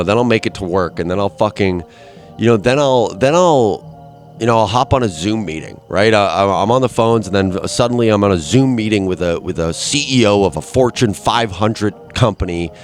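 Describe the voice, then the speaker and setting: A man's voice: on the low side, moderate pace, soft background music. A young man with a stuffed-up nose rambling through a crude, self-deprecating solo monologue, full of restarts and asides. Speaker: podcast host.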